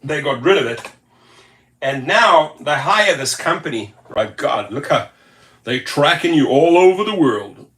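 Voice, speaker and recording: A man's voice: on the high side, a little slow. A man from the United States hosting a rideshare channel, giving casual, sarcastic commentary and repeating his points for emphasis. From USA. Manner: animated, sarcastic, repetitive. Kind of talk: casual commentary on screen text.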